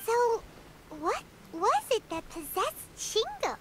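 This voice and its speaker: A woman's voice: wildly swooping pitch, slow pace, noisy background, heavily acted. A woman voicing a tiny, perky, chatty fairy companion in a video game, who talks about herself in the third person. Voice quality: very high, squeaky, bouncy.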